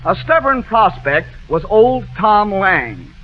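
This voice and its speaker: A man's voice: high voice, big pitch swings, slow. A man hosting a 1940s radio quiz show with chatty, teasing, wisecracking patter. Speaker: quiz show host, master of ceremonies. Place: radio studio show with band and audience. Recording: muffled 1940s radio broadcast, music behind.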